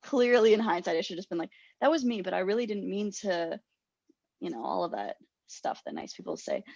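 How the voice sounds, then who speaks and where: A woman's voice: medium pitch, big pitch swings, fairly quick, vocal fry, darkish tone, no echo, highs cut off. A young adult woman co-hosting a podcast, telling a rambling, self-deprecating story with lots of laughter. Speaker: podcast co-host. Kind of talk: casual storytelling chat.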